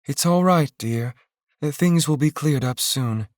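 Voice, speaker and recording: A man putting on a calm motherly woman. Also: fairly high pitch, a little slow, dry recording, studio quality, voice pushed up, close to an everyday voice. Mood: soothing. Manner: soothing, earnest pleading. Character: calm motherly village woman, steady and caring.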